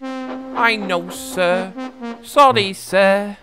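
A man's voice: sits high, very slow, music in the background, voice pushed up. A man voicing a vain, huffy steam engine in a children's story, boastful and whiny in his exclamations. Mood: apologetic.

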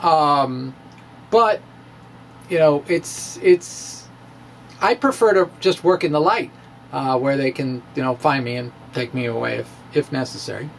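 A middle-aged man presenting a science monologue as a dramatic reading with casual asides, emphatic and theatrical, with halting pauses. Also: medium pitch, vocal fry, a little hiss or hum, rough recording. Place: small indoor room with bookshelves. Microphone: handheld-style microphone on stand close in front.

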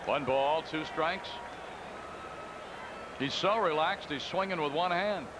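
A man calling baseball play-by-play in a calm, conversational way, reading out stats between pitches. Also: slow. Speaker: play-by-play announcer. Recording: broadcast booth over loud crowd noise. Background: stadium crowd ambience.

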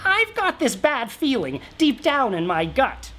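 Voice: sits high, huge pitch swings, a little slow, a bit bright, voice pushed up, exaggerated voice. A man voicing a fretful, complaining storybook king in a plaintive, emphatic manner. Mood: pitiful, griping. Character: whining, fretful male king, griping about clothes.

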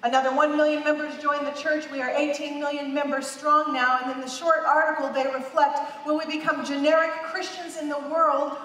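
High-voiced woman preaching in echoey hall. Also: a bit bright, slight background noise. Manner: emphatic, repeats phrases for emphasis, gestures widely. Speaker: pastor. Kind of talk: sermon. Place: large dark church stage. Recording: echoey hall, heard from a distance.

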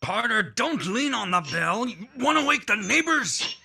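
A man's voice: very high voice, sing-song, moderate pace, gravelly, background noise, exaggerated voice. An actor playing a nervous man hiding his past, hesitant and stammering, in 1950s-style radio drama. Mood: tense.

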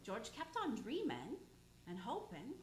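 A woman narrating a radio play as a heavenly figure, hushed at sad moments. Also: on the high side, huge pitch swings, measured pace, slight background noise. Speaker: narrating angel. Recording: roomy, with loud music under.

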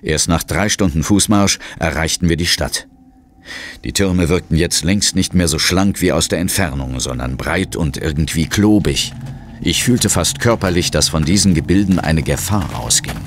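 An actor playing a resolute ghost hunter who tells his own adventure in a measured, suspenseful audio drama style. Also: low-pitched, a little hiss or hum. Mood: ominous, measured. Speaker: first-person narrator and lead character. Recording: loud dramatic music and effects behind.

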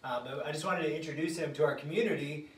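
A man's voice: fairly high pitch, fast talker. A middle-aged man hosting a casual interview, introducing a colleague and giving short conversational prompts. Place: small office room indoors. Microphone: phone camera a few feet away. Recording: echoey room, distant mic, faint noise.

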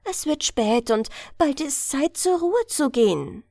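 A woman voices an eager village youngster in a fairy tale. The voice is raised high and lively, calling out insistently. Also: unhurried, gravelly, no echo, studio quality, full character voice. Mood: urging. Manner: calling out, urging.

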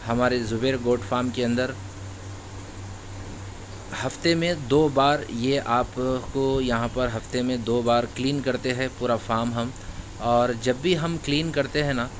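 A man's voice: mid-range voice, steady tone, a little slow, a little hiss or hum. A goat farmer from Hyderabad, giving a casual, friendly how-to walkthrough of his farm for his channel. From Hyderabad, India. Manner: friendly instructional talk with religious greetings. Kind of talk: casual how-to vlog narration. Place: indoor goat shed, off camera.

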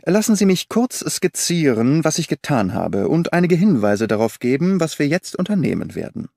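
A man voicing a brisk, confident detective who explains his reasoning eagerly, in an audiobook reading. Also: gravelly, dry recording, clean studio sound, heavily acted. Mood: eager explaining. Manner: animated, briskly explaining, lifted pitch.

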